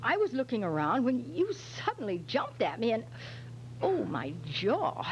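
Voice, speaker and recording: Woman acting a flustered young woman, high, animated. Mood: pleading, defensive. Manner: halting, protesting innocence. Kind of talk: 1940s radio drama acting. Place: hotel function room stage, indoors. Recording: slightly noisy stage recording on videotape.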